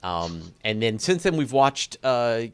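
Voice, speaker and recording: A man hosting a conversational interview, talking in an animated way with restarts and repeated phrases. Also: mid-range voice, takes it slowly, clear, resonant tone. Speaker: interview host. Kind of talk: conversational interview about space.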